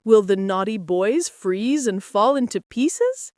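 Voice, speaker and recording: A woman's voice: medium pitch, animated, takes it slowly, a little mellow, dry recording, clean studio sound. A woman voicing a frightened, reluctant young stork in a storybook, childlike and questioning. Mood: excited. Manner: anxious, childlike questioning and protesting.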